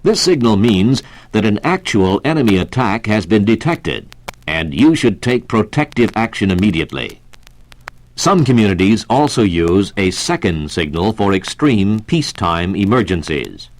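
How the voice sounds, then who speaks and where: An American man reading a scripted 1960s civil defense radio announcement in a measured, formal, instructional manner. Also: fairly low pitch, very animated. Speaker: public information announcer.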